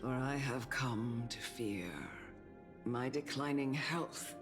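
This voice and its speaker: An actor voicing an aged, solemn male priest and mentor in a game cutscene, speaking in a low, grave, measured way. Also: fairly even intonation, music in the background, close to an everyday voice. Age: older.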